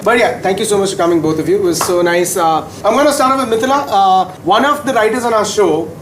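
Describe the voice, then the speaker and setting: Fast-talking Indian man hosting, crowd behind. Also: high-pitched. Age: 30s. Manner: joking, teasing. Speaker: talk show host. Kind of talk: casual comic interviewing in front of an audience.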